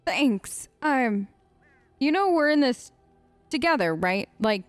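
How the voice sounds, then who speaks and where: A woman's voice: sits high, lively intonation, slow, no room sound, soft background music. A woman doing casual let's play role-play, answering game characters in an informal, hesitant, playful way.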